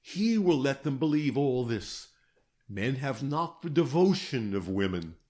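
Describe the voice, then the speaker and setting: A stern and patient old detective from a period mystery, pressing each question with grave insistence, as a male reader puts him on. He speaks slowly and deliberately, a little raised above the reader's own tone. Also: medium pitch, sing-song, a little mellow, pitched above the performer's own voice. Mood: gentle.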